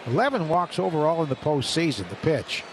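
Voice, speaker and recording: A man calling play-by-play baseball on radio, brisk and detailed, rising with the action. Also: fairly high pitch, sing-song, low-quality recording. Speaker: play-by-play announcer. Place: ballpark broadcast booth. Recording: radio broadcast with background noise.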